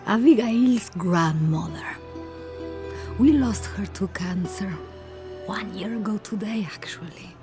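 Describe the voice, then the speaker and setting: An actress playing a kindly older village woman in a video game cutscene, speaking gently and with wistful amusement. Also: mid-range voice, takes it slowly, music in the background, lightly acted.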